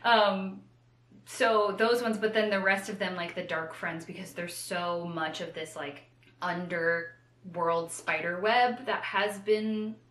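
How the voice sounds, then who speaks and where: Bright-voiced woman chatting casually, roomy echo. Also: lowish voice, slight background noise. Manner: animated, self-deprecating, rambling asides with acted-out quotes. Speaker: co-host of a book discussion show. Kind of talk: casual unscripted book chat.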